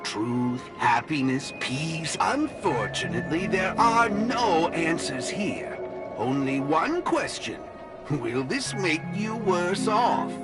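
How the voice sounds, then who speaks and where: A man playing a serene, cryptic cult guru in a video game, courteous and smug, preaching in a measured, theatrical way. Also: fairly high pitch, sing-song. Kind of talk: video game character acting. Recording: game music behind.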